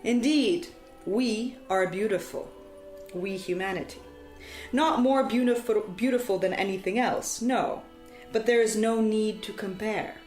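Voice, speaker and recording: A woman storyteller delivering poetic scripted narration in a solemn, deliberate way, with dramatic phrasing and emphatic repetition. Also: medium pitch, a little mellow. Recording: echoey, reverberant sound with music behind. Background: music.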